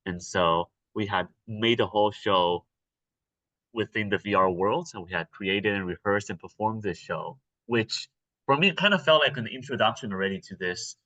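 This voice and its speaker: A young man from Taiwan, answering interview questions in a relaxed, hesitant way with many ums and restarts. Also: fairly low pitch, no room sound, lo-fi sound. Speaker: interview guest, actor.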